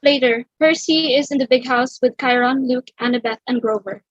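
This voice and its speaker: A woman's voice: sits high, moderate pace, no room sound, highs cut off. A woman reading stage directions as scripted narration for a theatre table read.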